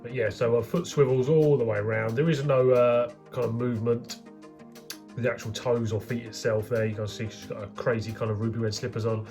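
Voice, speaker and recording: A man's voice: medium pitch, steady tone, fairly quick, darkish tone. Man, bunged up with a cold, rambling casual toy review, mid-range voice, faint music underneath. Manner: chatty, rambling, speaks straight to viewers. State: congested from a head cold. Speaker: toy collector vlogger.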